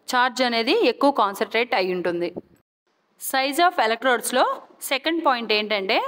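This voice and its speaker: A woman with a Telugu Indian accent giving a scripted educational lecture as an instructor, deliberate and point by point, mixing in English technical terms. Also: on the high side, a bit bright. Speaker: physiotherapy instructor. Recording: long hall-like reverb.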